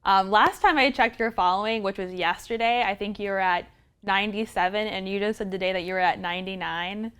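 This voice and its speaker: A woman's voice: mid-range voice, fairly quick, a bit bright, clear, resonant tone. A woman hosting an interview, asking questions and affirming her guest's answers. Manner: encouraging, affirming. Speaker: interview host.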